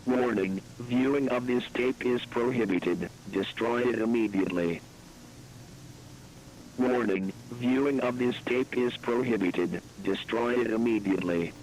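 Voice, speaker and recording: A man's voice: mid-range voice, measured pace, pauses often, heavy background noise, natural voice. A man playing a stern automated announcer who gives commanding, repetitive warnings on an analog horror tape.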